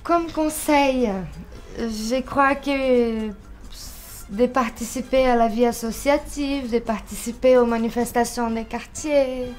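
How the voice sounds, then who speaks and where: A woman in her twenties from Brazil answers interview questions in French, her second language. Her voice sits a little high, and she takes her time, sometimes repeating a word before going on. Faint music plays behind her. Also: steady tone, a little mellow. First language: Portuguese. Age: 20s. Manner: unhurried, searching for words with repeats. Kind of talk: casual interview at home.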